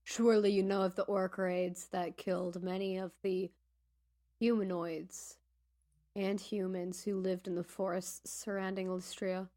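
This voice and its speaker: A woman's voice: medium pitch, little pitch movement, measured pace, warm tone, lightly acted. Woman playing a wary, probing female adventurer. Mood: suspicious.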